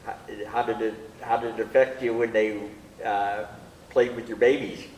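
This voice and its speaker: A man's voice: lowish voice, steady tone, normal speed, darkish tone. Distant man asking from the audience. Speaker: audience member asking questions. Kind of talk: informal spontaneous questions. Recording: echoey room, distant mic, faint noise.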